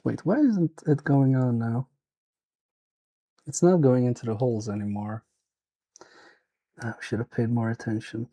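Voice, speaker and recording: A man's voice: mid-range voice, unhurried, pauses often, mellow. A man with a Swedish accent, thinking aloud and muttering to himself as he repairs a toy on a live stream. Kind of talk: casual live-stream commentary while repairing.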